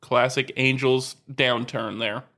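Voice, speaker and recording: Male podcast co-host, relaxed and chatty, quick to laugh. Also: mid-range voice. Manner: easygoing, laughs often. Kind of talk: casual sports chat.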